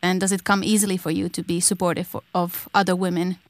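A woman's voice: lowish voice, little pitch movement, quick pace, dry recording. A woman music journalist asking interview questions plainly, with some hesitations.

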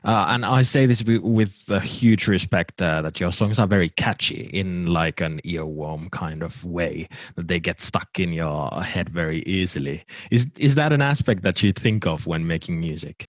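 A man's voice: low voice, normal speed, few pauses, no echo, clean studio sound. A young man with a Finnish accent interviewing a singer, polite and hesitant with many fillers. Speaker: radio host, interviewer. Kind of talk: interview questions in English.

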